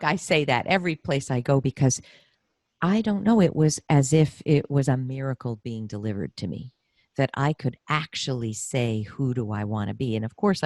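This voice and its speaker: Emphatic woman, low-pitched host. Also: a little mellow, dry recording, studio quality. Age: middle-aged. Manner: emphatic, effusive. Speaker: podcast host. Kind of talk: conversational interview.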